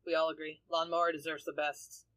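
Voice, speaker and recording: A woman's voice: low-pitched, little pitch movement, fairly quick, fairly bright tone. A woman who plays in a tabletop podcast, chatting casually with the group in an emphatic, giggly manner. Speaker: podcast cast member, tabletop player.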